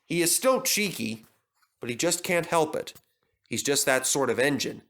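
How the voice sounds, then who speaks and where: A man narrates a children's story with a bright, forceful tone. His reading is expressive and dramatic, swinging widely in pitch. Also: moderate pace.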